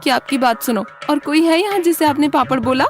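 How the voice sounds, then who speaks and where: A woman voicing an anxious, quick-tempered village wife in a cartoon story, fretful and full of exclaimed questions. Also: high-pitched, faint music behind, voice pushed up, natural voice. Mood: indignant.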